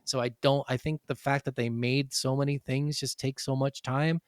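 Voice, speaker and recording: A man's voice: medium pitch, level tone, normal speed, dry recording, clean studio sound. A man co-hosting a casual gaming podcast, ranting in an exasperated, opinionated way with plenty of swearing. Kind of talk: casual opinionated game discussion.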